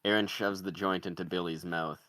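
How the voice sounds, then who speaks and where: A man's voice: deep voice, fairly even intonation, a little fast, sharp tone, dry recording, studio quality. A man narrating, reading out the stage directions of a screenplay.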